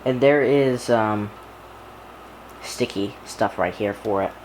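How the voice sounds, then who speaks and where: A man's voice: lowish voice, slow pace, noisy background. A man giving casual, hands-on unboxing commentary, informally talking through each small action as he does it. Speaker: hobbyist video creator presenting an unboxing.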